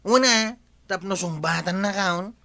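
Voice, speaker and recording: A man playing a cheeky, teasing village tricycle driver in a comedy radio drama, pushy and quick to chuckle. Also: high voice, gravelly, sharp tone, no room sound, studio quality, exaggerated voice. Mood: cheeky, insistent. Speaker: actor in an Ilocano comedy drama.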